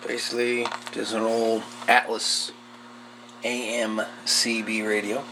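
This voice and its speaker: A man giving a casual, folksy, hands-on repair walkthrough, talking in short, unhurried pieces. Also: on the low side, monotone. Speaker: host of a radio repair channel. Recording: loud background noise with clicks.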